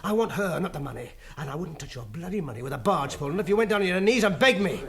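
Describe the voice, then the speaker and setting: A cynical, combative Englishman in a radio drama. He has a high, very animated voice and talks quickly. His manner is blunt and defiant. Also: creaky. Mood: furious, defiant. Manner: blunt, argumentative. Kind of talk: British radio drama acting.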